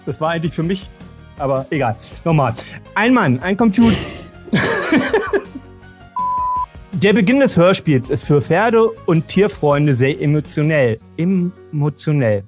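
A man with a slightly high voice hosts a podcast. He talks in a casual, conversational way. Light music plays behind him. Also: lively intonation, a little slow, long pauses, a little mellow. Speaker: podcast host. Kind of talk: casual podcast chat and presenting.

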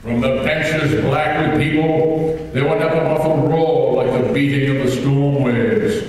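Older man, slow dramatic poem recital, booming hall reverb, distant mic. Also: a little hiss or hum. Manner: reads from the page with swelling emphasis and lively shifts of pitch. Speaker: teacher reading a poem aloud. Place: large hall, on a stage. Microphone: lectern microphone heard from a distant camera. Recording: echoey hall, voice from far off.

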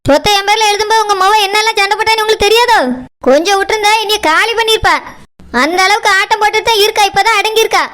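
Hard-hearted daughter-in-law, female performer for a family skit: piercing, fast-talking, curt and scolding, southern Tamil Nadu accent. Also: very high-pitched, fairly even intonation, sharp tone. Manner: scolding, dismissive. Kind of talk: family drama skit acting.

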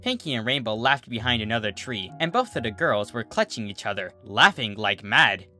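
A narrator reading a scripted comic story in an expressive way. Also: mid-range voice, moderate pace, faint music behind. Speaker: narrator, fan fiction reader.

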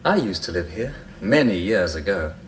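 Courteous man, wistful, animated intonation, radio drama, faintly noisy playback. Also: low voice, moderate pace. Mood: wistful, apologetic. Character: courteous, wistful man revisiting his childhood home.

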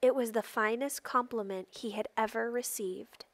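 High-voiced woman reading a storybook aloud. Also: steady tone, normal speed, dry recording. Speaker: storybook reader. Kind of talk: expressive read-aloud of a children's picture book.

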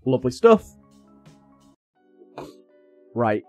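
A young man with a slightly raspy voice from being a little ill, chatting casually and thinking aloud as he plays a game. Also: mid-range voice, very animated, brisk, warm tone, soft background music. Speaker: gaming YouTuber. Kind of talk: casual gameplay commentary.

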